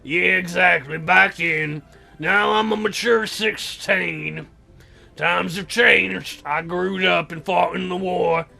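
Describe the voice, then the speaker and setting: A game player voicing a sullen, dopey sixteen-year-old boy who grumbles slowly with world-weary self-importance. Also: medium pitch, fairly even intonation, vocal fry, bright, forceful tone, soft background music, heavily acted.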